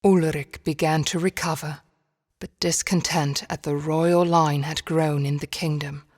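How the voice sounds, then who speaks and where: A woman narrating a dark fairy tale for a game in a measured, solemn storybook manner. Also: low voice, fairly even intonation. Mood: solemn, grave. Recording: long reverberant hall echo.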